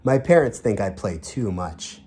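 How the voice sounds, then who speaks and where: A man's voice: fairly low pitch, sing-song, a little slow, dark tone, breathy edge, voice pushed down, heavily acted. Guy doing a little refugee kid from a picture book, earnest n friendly, really bouncy intonation. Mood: cheerful, earnest. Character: young refugee children introducing themselves, earnest and friendly. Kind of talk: storybook read-aloud in character.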